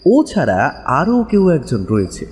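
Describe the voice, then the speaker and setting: A man narrating a village ghost story in a dramatic, expressive storytelling manner. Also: mid-range voice, normal speed, long reverb tail.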